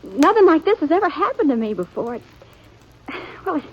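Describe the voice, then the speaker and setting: A woman playing a sweet, humble, grateful young woman in a 1950s Western radio drama, soft and breathy, speaking hesitantly and wistfully. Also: high voice, big pitch swings, fairly quick. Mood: awed, grateful. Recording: dull old radio recording.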